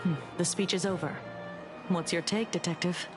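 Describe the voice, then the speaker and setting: Calm, businesslike woman investigator acted out. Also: on the low side, normal speed, over music, lightly acted. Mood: composed. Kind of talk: video game voice acting.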